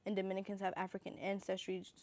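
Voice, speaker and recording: A woman's voice: mid-range voice, monotone, racing, a little mellow. A young woman co-hosting a casual talk show, upbeat and chatty, asking her guests questions. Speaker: podcast co-host. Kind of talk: casual discussion.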